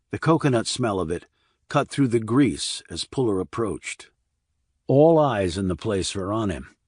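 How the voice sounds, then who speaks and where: Male narrator, medium pitch, dry recording; measured scripted reading for thriller fiction. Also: a little mellow, no echo. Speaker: audiobook narrator.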